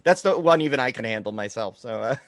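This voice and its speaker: A man's voice: medium pitch, animated, fast talker, dry recording, studio quality. A man co-hosting a horror film podcast in casual chat, rambling and jokey as he talks. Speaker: podcast co-host.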